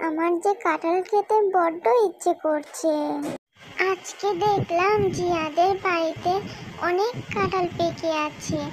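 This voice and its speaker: A woman's voice: very high voice, steady tone, unhurried, noisy background. A woman voicing a young fox girl in a children's cartoon, eager and wheedling in a childlike way. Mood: pleading. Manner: wheedling, repetitive pleading. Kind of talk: children's cartoon story voice acting.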